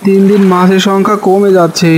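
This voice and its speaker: A man voicing a poor, doubtful fisherman husband in an animated folk tale, plaintive and questioning. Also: high-pitched, brisk, a little hiss or hum, lightly acted.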